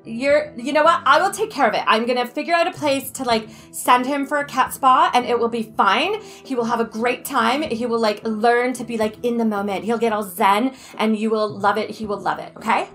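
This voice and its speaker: Woman playing a pushy, bright-voiced best friend. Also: fairly high pitch, moderate pace, hardly any pauses, faint music behind, natural voice. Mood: insistent. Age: middle-aged. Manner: rambling, breezily insistent, valley-style filler talk. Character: pushy, self-absorbed, oblivious best friend. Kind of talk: improvised soap-opera comedy over a video call.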